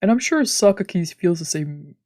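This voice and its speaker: A woman voicing a stern, guarded male doctor from a visual novel, husky and breathy. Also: fairly low pitch, animated, moderate pace, darkish tone, no room sound, studio quality, lightly acted. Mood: earnest. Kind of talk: reading visual novel dialogue aloud.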